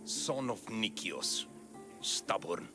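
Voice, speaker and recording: An actor playing a gruff, guilt-haunted middle-aged collector who speaks in terse, bitter phrases. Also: male voice, lowish voice, very animated, takes it slowly, over music. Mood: brooding. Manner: terse, bitter, clipped sentences. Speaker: game character. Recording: orchestral game score underneath.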